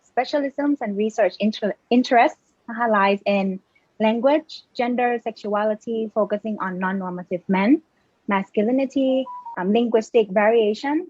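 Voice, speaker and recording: A woman's voice: on the high side, darkish tone, slightly rough, no room sound. Woman introducing a speaker, dull dry sound. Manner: formal, hesitant, reading a list. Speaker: event moderator. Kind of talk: formal introduction.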